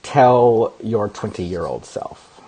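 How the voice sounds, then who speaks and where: A man hosting a casual interview show, upbeat and animated, asking friendly questions. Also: fairly low pitch, slow pace, slightly rough, poor audio. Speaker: host.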